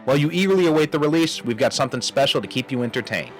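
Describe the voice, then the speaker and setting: A man's voice: mid-range voice, expressive, racing, faint music behind, rough recording. A man hosting, reading a scripted promotional announcement in an emphatic, hype-filled way. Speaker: host and comic writer.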